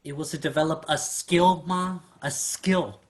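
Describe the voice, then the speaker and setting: Actor doing grown son, medium pitch, flustered n defensive, stammers excuses, comedy play reading. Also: fairly even intonation, measured pace, lightly acted. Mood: placating. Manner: stammering, evasive, exasperated.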